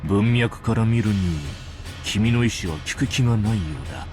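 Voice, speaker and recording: A man's voice: fairly low pitch, animated, fast, music in the background. An actor playing a glib, shrewd businessman in a visual novel, casual and unflappable as he talks. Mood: cool, encouraging. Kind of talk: Japanese visual novel voice acting.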